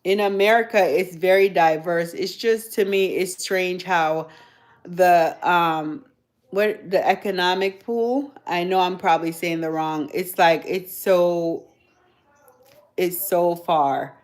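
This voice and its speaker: A woman's voice: fairly low pitch, steady tone, slow. A woman from Jamaica with a Jamaican accent, chatting casually with viewers on her livestream, rambling and laughing often. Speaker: livestream host. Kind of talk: casual live chat with viewers.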